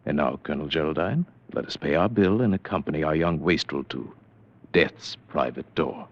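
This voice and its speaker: An actor playing a cool, aristocratic prince in disguise, in an old-time radio drama. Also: male voice, rumbling low, normal speed, a little hiss or hum. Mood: determined. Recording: dull, dry old radio recording.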